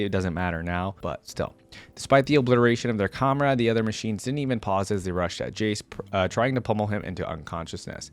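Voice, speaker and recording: A man with a fairly low voice reads lore aloud as a gaming content creator. He keeps it informal, stepping out of the narration for wry, casual commentary. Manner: informal, breaks off with wry asides. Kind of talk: reading a story aloud with casual reaction commentary.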